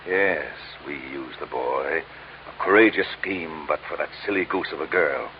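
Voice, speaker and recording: An actor playing a pompous, scheming crime boss in a radio drama, speaking in florid, mock-courteous phrases. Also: male voice, very deep voice, unhurried. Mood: florid, cynical. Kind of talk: old-time radio drama acting. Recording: dull, hissy old radio recording.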